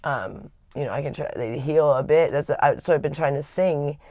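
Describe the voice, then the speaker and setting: A woman with a raspy voice, hosting a livestream and telling a personal story in a candid, rambling way with frequent restarts. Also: deep voice, normal speed, darkish tone, dry recording, clean studio sound. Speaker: livestream host.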